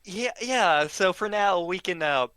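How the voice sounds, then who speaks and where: A man with a bright, slightly high voice tells funny stories in a casual, rambling way. His delivery is halting and giggly, unhurried overall and lively in pitch. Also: clear, resonant tone, no echo. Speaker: storyteller, regular of the show. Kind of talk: casual humorous anecdote telling.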